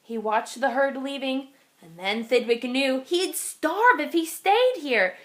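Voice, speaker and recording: High-pitched woman reading a storybook aloud. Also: lively intonation, a bit bright. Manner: dramatic, deliberate phrasing for children. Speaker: children's read-aloud reader. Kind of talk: expressive storybook read-aloud.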